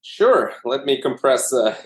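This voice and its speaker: A man from Russia, an anesthesiologist in his thirties, telling his career story in a relaxed way with lots of fillers. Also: mid-range voice, measured pace. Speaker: anesthesiologist guest. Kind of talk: casual interview conversation.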